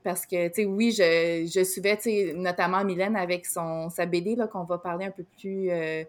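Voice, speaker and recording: A woman's voice: on the low side, steady tone, moderate pace. A woman with a Québécois accent co-hosting a podcast, chatty and loose, full of fillers and restarts. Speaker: podcast co-host. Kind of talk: casual interview chat.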